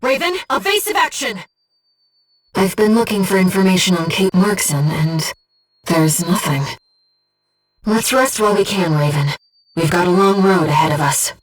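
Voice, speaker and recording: A woman performs a gentle, bodiless female companion from a video game, with a young voice at medium pitch. She speaks softly and exactly, like an earnest mission operator. The sound sits slightly away from the microphone. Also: animated, normal speed, pauses often, recorded from a distance, close to an everyday voice, low-quality recording. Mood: urgent, alarmed, then tender, solemn. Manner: composed, soft-spoken, earnest, precise. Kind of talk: video game voice acting, tactical radio guidance.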